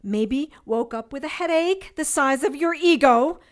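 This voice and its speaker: Actress voicing a rational witch, high voice, slow clipped scolding, audio drama. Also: expressive. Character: sharp, rational young witch, exasperated schemer.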